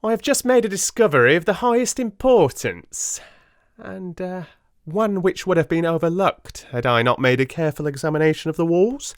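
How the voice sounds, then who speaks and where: A man voicing a pompous, self-satisfied and excitable little police detective in a dramatised audiobook, with a boastful, showman-like manner. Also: on the high side, moderate pace, dry recording, studio quality, pitched above the performer's own voice. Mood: triumphant, pompous. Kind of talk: dramatic audiobook character acting.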